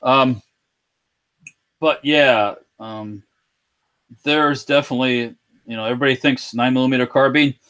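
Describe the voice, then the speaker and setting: A man's voice: mid-range voice, fairly even intonation, normal speed, long pauses, a bit bright, clean tone. A man co-hosting a podcast, chatting casually and hesitantly, often trailing off. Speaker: podcast co-host. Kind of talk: casual chat about firearms.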